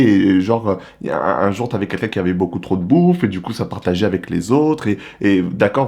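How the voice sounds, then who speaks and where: Animated young man, slangy monologue. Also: sits low. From Republic of the Congo. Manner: animated, slangy, rhetorical questions. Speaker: vlogger. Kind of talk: casual monologue to camera.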